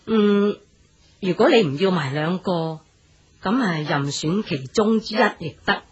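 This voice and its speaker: An actress playing a dignified, insistent old noblewoman in a period radio drama, speaking Hong Kong Cantonese in a formal, gently commanding way. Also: fairly low pitch, expressive, a little slow, husky, lo-fi sound, exaggerated voice.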